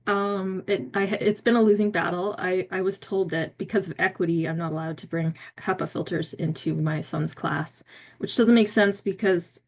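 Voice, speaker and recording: A woman's voice: on the low side, fairly even intonation, normal speed. A middle-aged woman giving an informal, earnest and empathetic talk as an advocate. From Canada. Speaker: long COVID advocate. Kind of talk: informal unscripted advocacy speech.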